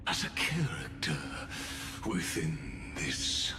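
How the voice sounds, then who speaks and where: An actor playing a calm, theatrical scheming scholar who speaks in a slow, measured, whispery way. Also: male voice, very deep voice, gravelly, reverberant, background noise, full character voice. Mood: grand, theatrical. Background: game music.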